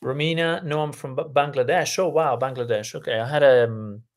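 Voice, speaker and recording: A man who is a native Italian speaker, coaching on a live-stream lesson in an unhurried way, with many ums and restarts. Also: mid-range voice. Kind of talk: live-stream lesson, reading chat aloud.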